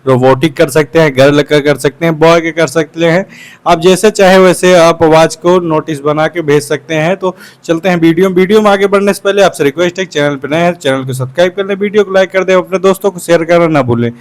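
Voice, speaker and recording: A man's voice: on the high side, a little fast. A man hosting a tech channel, casually giving step-by-step phone app instructions and repeating himself as he goes. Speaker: tech tutorial channel host.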